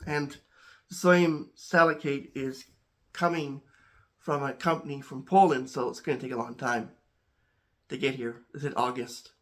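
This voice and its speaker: A man hosting a home chemistry channel talks casually to camera, a little congested, halting with many restarts and repeated words. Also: medium pitch, fairly even intonation, a bit bright.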